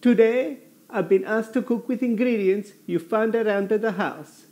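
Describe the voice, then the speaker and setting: An actor playing an excitable male television cook presenting a cookery show in a video game. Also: sits high, unhurried, muffled recording. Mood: showy, insistent. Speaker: TV cooking show host.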